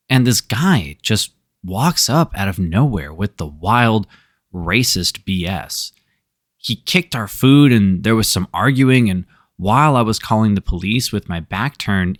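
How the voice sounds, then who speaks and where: A man's voice: on the low side, dry recording, clean studio sound. A man hosting a storytelling show, reading online stories aloud with wry, sarcastic asides. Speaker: storyteller host.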